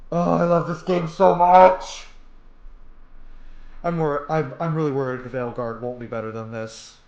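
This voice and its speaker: Casting: male gamer commentating a playthrough, slightly high voice, informal and rambling, noticeably roomy sound. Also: steady tone, unhurried, gravelly, a little mellow, highs cut off. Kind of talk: casual gameplay commentary. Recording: roomy, echoey voice pickup.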